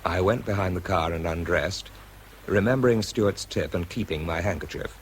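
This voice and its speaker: A man's voice: low voice, normal speed, a little hiss or hum, close to an everyday voice, poor audio. An actor playing a nervous, understated bomb disposal man, narrating and talking in a radio drama. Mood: reflective, tense. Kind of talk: radio drama, first-person narration and dialogue.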